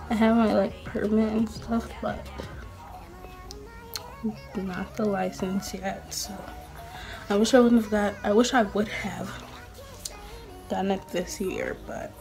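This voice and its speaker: Chatty 19-year-old woman with vocal fry. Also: medium pitch, darkish tone, poor audio. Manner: chatty, rambling, frequent "like" and "um". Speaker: beauty vlogger. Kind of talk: casual chat while doing makeup. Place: small bedroom.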